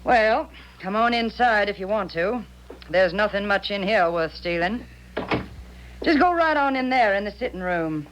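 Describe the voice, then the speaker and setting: An actress playing a crusty, suspicious old aunt in a radio drama, talking in a gruff, blunt, folksy way. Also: female voice, mid-range voice, lively intonation, unhurried, slight background noise, natural voice, poor audio. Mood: suspicious, curt. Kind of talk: 1970s radio drama acting.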